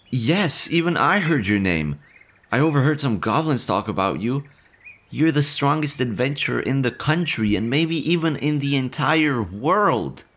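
A man voicing a timid young male slime monster in an audio roleplay, nervous and stammering, quick to worry. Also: medium pitch, a bit bright, husky, exaggerated voice. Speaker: voice actor.

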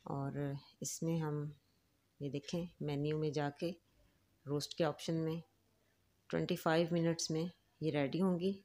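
Low-voiced woman calmly guiding a recipe. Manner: calm, instructive, unhurried. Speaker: cooking channel host. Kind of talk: step-by-step recipe voice-over. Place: home kitchen.

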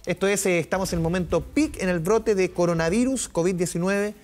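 A man's voice: sits high, brisk, a little mellow, soft background music. A man anchoring live news, talking in a conversational way that repeats and restates points. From Chile. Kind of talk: live studio news commentary.

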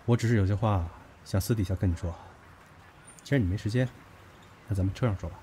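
An actor in an audio drama playing a brash, possessive younger businessman, a blunt, cocky and teasing lover who bickers gruffly. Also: on the low side, fast talker, mellow, slight background noise, close to an everyday voice. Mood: pushy, cocky.

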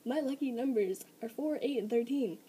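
A teenage girl chatting casually into a laptop webcam at night, soft-spoken, giggly and a little hesitant. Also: on the high side, moderate pace, background noise. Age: teens. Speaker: vlogger sharing facts. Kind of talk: casual late-night vlog. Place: small blanket fort indoors at night. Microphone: laptop webcam close up.

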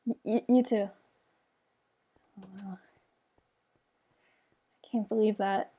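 A woman's voice: mid-range voice, steady tone, slow pace, mellow, breathy edge. A woman playing a shy, nervous young fire mage in a game roleplay, hesitant, stammering and polite. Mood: grateful, nervous. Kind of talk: amateur roleplay acting in a game video.

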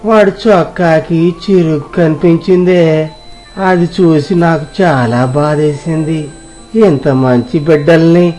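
A man's voice: high voice, dark tone. A man voicing poor older village men in a dramatized moral story, with earnest, rustic dialogue. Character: poor older village washerman and his barber friend.

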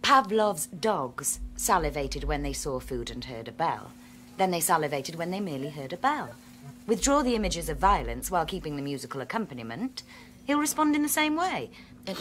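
An actress playing a principled, troubled scientist, earnest and articulate. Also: female voice, low-pitched, fairly bright tone, a little hiss or hum.

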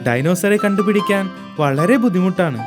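A woman's voice: on the low side, animated, normal speed, over loud music, close to an everyday voice. A woman playing a friendly storyteller, narrating a cartoon for young children. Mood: storytelling.

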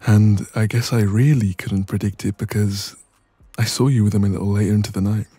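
Man acting as low, husky, cocky ex-boyfriend. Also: fairly even intonation, a little fast, mellow, no echo, soft background music. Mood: accusing, restrained. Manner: smug, teasing, casual. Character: dominant, cocky, irritated young ex-boyfriend in an intimate argument. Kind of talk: intimate ASMR audio roleplay. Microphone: close microphone.

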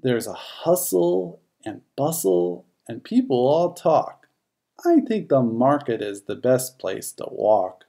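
Narrator as a mellow, curious Scottie dog. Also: mid-range voice, big pitch swings, slow pace, creaky, heavily acted. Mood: content. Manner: lilting, rhyming first-person storytelling. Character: sociable, curious Scottie dog who loves markets.

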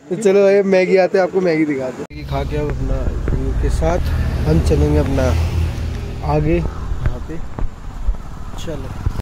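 A man's voice: fairly high pitch, measured pace, pauses often, crowd noise. A man, chatting casually as a vlogger on a phone held at arm's length. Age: 20s. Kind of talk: casual vlog chat. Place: outdoors on a roadside and in a car. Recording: noisy outdoor phone recording.

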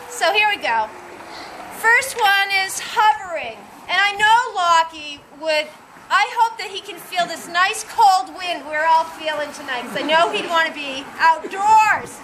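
High-voiced woman reading poems slowly. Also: bright, forceful tone, slight background noise, rough recording. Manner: slow, deliberate poetry reading. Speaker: poetry reader at a vigil. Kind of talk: reading her poems aloud. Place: outdoor gazebo at night.

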